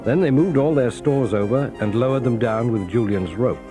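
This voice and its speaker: A man narrating a children's story in a calm, expressive storytelling manner. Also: medium pitch, normal speed, over music.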